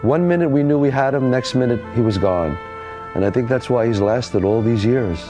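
A man's voice: medium pitch, expressive, moderate pace. A man giving reflective remarks as a documentary interviewee. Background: guitar music.

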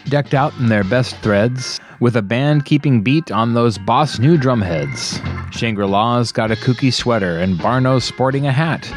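A man's voice: medium pitch, darkish tone. A man reading a holiday poem in rhyme with playful, theatrical storytelling. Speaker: DJ on a radio show. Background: plucked guitar music.

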